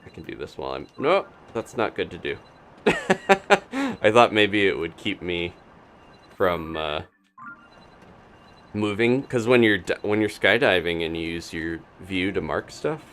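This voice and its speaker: A man's voice: deep voice, very animated, unhurried, a bit bright, faint music behind. A man giving casual live gameplay commentary, chatting and thinking aloud in unfinished asides. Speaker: video game streamer.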